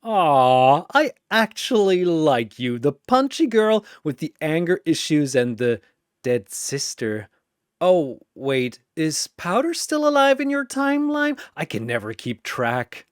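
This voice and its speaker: A gleeful, menacing cartoon dream demon, acted by a man, pitched high with wild swoops. He taunts and mocks theatrically, in a close, dry sound. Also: very animated, slow, clear, resonant tone, dry recording. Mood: gleeful taunting.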